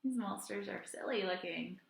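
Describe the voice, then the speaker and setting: A woman teacher reading a story aloud and chatting to young children in an expressive way. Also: mid-range voice, normal speed, gravelly, a little hiss or hum. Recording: roomy indoor echo.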